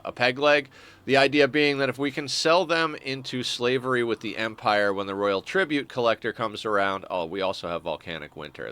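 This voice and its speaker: A young man streams a game, sounding a bit stuffed up. His rather low voice is bright and smooth, and he talks in a loose, wry way, often backtracking to fix what he just said. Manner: rambling, self-correcting, wry. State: stuffed-up nose. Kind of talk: casual gameplay commentary.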